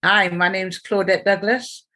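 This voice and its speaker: Guest: English-raised woman poet-minister, composed and warm. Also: medium pitch, steady tone, unhurried, slightly rough. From England.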